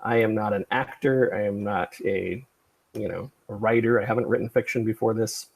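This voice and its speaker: A middle-aged man with a gravelly, husky voice hosting a casual livestream chat in a rambling, self-effacing way. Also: lowish voice, fairly even intonation, moderate pace. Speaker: podcast creator and livestream host.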